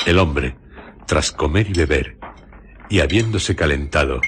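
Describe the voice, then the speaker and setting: Narrator: man, very deep bass, Spain accent, measured literary delivery for radio theatre, noisy backdrop. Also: animated. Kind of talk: scripted radio drama narration.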